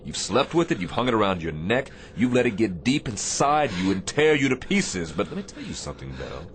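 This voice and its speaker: An actor playing a firm, compassionate but weary Navy captain in a radio drama, speaking with deliberate, commanding emphasis. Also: male voice, fairly low pitch, sing-song. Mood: urgent persuasion. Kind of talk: dramatic radio theatre acting. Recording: faint background noise.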